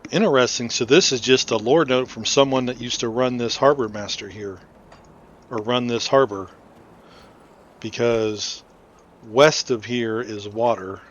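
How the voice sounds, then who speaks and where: A man's voice: mid-range voice, a little slow. Casual man commentating, slightly dull sound. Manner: informal, explanatory. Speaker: gaming video host. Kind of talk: casual game playthrough commentary. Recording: slightly dull audio, faint noise.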